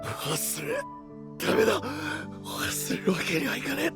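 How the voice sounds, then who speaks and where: A man playing a rough, brooding young knight in a voice drama, gruff and anguished, proud yet tormented. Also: very high voice, huge pitch swings, measured pace, over music, heavily acted. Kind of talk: anime-style voice drama acting.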